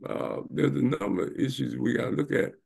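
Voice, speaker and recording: A gravelly, husky-voiced man in his seventies hosts a talk show. He talks emphatically and drifts between points, with lots of fillers. He sounds like he is on a video call. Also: mid-range voice, fairly even intonation, brisk, darkish tone. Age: 70s. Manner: emphatic, wandering, many fillers. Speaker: show host and professor. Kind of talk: casual interview talk.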